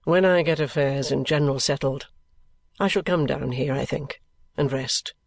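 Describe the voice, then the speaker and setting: Male actor as young gentleman, period novel dialogue: earnest, weary, evasive, creaky edge, very dry sound. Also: mid-range voice, moderate pace, no echo. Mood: restless, resigned. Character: restless young gentleman, affectionate but bitter and evasive. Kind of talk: acted dialogue in a classic novel audiobook.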